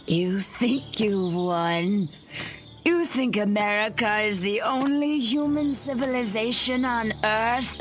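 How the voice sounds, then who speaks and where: A woman's voice: on the high side, slow pace, soft background music. A woman playing a bitter, defiant government agent in a video game, haughty and scornful in dramatic delivery. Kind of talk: video game character acting.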